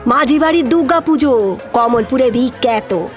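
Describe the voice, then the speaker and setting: A woman playing a proud, sharp-tongued older maidservant in a Bengali audio drama, scolding in a colloquial rural West Bengal accent. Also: high-pitched, lively intonation, normal speed, soft background music. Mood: scornful, boastful.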